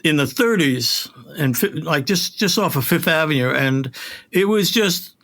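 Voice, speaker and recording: A man's voice: on the high side, animated, unhurried, a bit bright. A middle-aged man talking shop casually as an audio producer, in an emphatic, conversational way.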